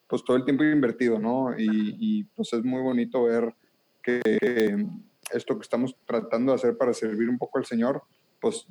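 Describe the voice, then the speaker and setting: A man's voice: medium pitch, dark tone. Earnest northern Mexican man hosting a podcast. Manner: earnest, thankful, many filler words. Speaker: podcast host. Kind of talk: casual chat.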